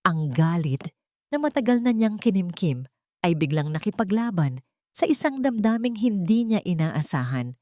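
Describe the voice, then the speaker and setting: A woman's voice: mid-range voice, sing-song, moderate pace, darkish tone, no room sound, studio quality. A Filipino woman reading a scripted dramatic story as its narrator.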